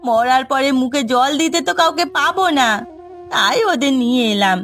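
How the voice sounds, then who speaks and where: A high-pitched village wife in a cartoon drama, middle-aged and sharp-tongued. She speaks in a plaintive, scolding way over clear background music. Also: lively intonation, moderate pace. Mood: reproachful.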